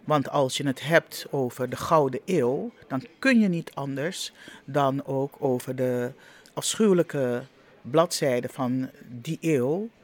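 A man speaking reflectively in an interview, in a deliberate, halting manner. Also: mid-range voice, a little mellow.

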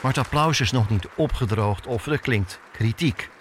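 A man's voice: mid-range voice, moderate pace, crowd noise, noisy background. A man with a Netherlands Dutch accent narrating a scripted personal documentary as a reporter, reflective and posing questions to himself. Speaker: reporter and podcast host. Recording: music bed under the voice. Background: music.

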